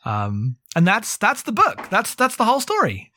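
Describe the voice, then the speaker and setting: A man co-hosting a podcast, talking through a book casually in a halting, rambling way full of asides. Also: sits high, huge pitch swings, sharp tone, no echo, studio quality. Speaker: podcast co-host. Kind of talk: casual book discussion with plot recap.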